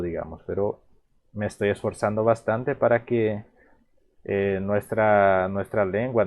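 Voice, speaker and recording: A man from Paraguay with a Paraguayan Spanish accent and a Guarani mother tongue, teaching phrases in a relaxed, halting way with many repeats and restarts. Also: on the low side, muffled recording. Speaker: language teacher. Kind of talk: explaining vocabulary in a video lesson.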